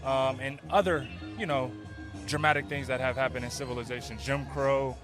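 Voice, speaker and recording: A man's voice: mid-range voice, steady tone, moderate pace. A male podcast host interviewing a guest outdoors, laid-back and full of affirmations and slang. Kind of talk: casual interview. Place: outdoors at a busy event. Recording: music behind, outdoors.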